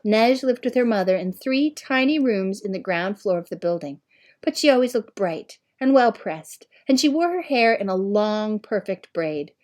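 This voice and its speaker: A woman narrates a storybook at a middle pitch. Her delivery is measured and expressive, in the manner of someone reading a novel aloud. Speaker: audiobook reader.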